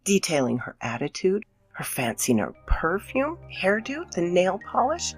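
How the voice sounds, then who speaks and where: A woman playing an anxious, guilt-ridden mother in a dramatic scripted horror narration, theatrical and dipping into breathy near-whispers. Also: fairly low pitch, wildly swooping pitch, normal speed, faint music behind. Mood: confessional, uneasy.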